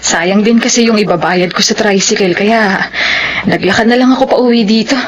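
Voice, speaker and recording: A woman's voice: mid-range voice, moderate pace, lo-fi sound, slight background noise, close to an everyday voice. An actress playing a caring middle-aged widowed mother, motherly and plainspoken, in a melodrama. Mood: weary, motherly. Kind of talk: Filipino melodrama acting.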